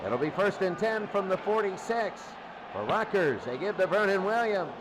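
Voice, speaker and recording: Male football play-by-play caller, high-pitched, excitable and repetitive, over a loud noisy background. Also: moderate pace. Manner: excitable, short repetitive phrases. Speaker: play-by-play sports commentator. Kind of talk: American football radio-style commentary.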